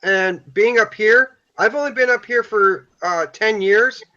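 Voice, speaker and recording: Bright-voiced Michigan man. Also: high voice, measured pace. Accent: Michigan, turning Upper Peninsula. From downstate Michigan. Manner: chatty, repeats words. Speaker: livestream guest. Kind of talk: casual chat. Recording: dull lo-fi stream audio.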